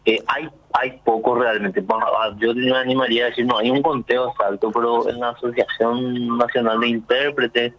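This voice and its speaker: Hombre paraguayo, acento paraguayo, entrevistado por teléfono, explica con muletillas, sonido apagado. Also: male voice, medium pitch, little pitch movement, moderate pace, few pauses, highs cut off. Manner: earnest, explanatory, many fillers and restarts. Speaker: sign language interpreter guest.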